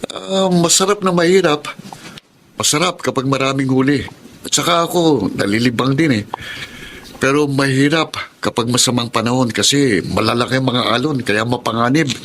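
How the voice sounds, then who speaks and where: A man's voice: medium pitch, moderate pace, a bit bright, slight background noise, natural voice. An actor playing an earnest, good-natured young fisherman and loyal son in a Filipino radio drama.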